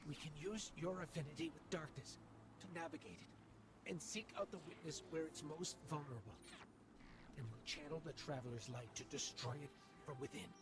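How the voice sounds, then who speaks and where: A man voicing an earnest, brave little robot companion in a video game, speaking sincerely and a little haltingly. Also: a little high, natural voice. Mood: earnest hope. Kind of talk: scripted dramatic game dialogue.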